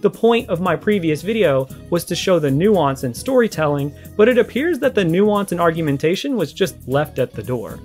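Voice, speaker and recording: A man with a fairly high voice talks through a casual video commentary. He argues his points conversationally and leans on key words. Soft music plays behind him. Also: sing-song, normal speed, few pauses. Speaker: video creator giving a response. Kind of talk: casual commentary to camera or mic. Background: background music.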